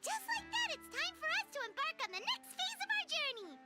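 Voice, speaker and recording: A woman voicing a tiny, excitable childlike fairy companion in a video game, with a squeaky voice. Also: fast, soft background music, full character voice. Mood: chatty. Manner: speaks of self in third person.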